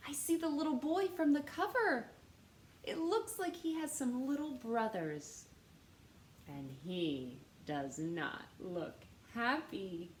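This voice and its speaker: A woman reading a story aloud to children in an animated, teacher-like way, stressing words and posing questions. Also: mid-range voice. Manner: expressive teacher-like delivery, dramatic gasps and emphasis. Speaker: storytime reader. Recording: roomy echo with faint background noise.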